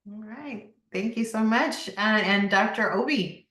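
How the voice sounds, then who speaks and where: A woman's voice: mid-range voice, a little slow, bright, forceful tone. Woman moderating in a roomy echo. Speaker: panel moderator, doctor. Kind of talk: hosting an online panel discussion. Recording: roomy, echoing sound.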